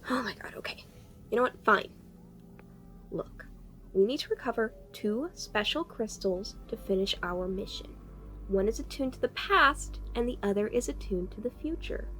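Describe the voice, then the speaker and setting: A woman playing an exasperated, technically minded time-travel engineer in an audio drama, explaining briskly. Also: fairly high pitch, animated, a bit bright, soft background music. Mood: exasperated, explanatory. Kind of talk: cinematic audio roleplay acting.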